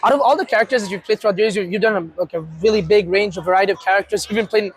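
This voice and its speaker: A man interviewing a guest, asking his questions haltingly with many restarts. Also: high voice. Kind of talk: casual convention interview questions.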